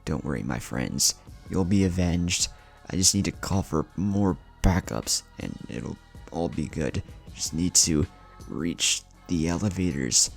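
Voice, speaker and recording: A man's voice: sits low, lively intonation, measured pace, vocal fry, husky, soft background music, exaggerated voice. A man voicing a wounded, defiant male superhero in a story, strained and urgent. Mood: desperate.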